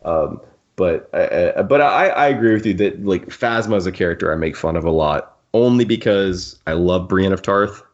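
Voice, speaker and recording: Male podcast host, low-pitched, wry and rambling, slightly dull lo-fi sound. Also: lively intonation, moderate pace. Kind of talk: casual podcast discussion. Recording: dull, lo-fi audio.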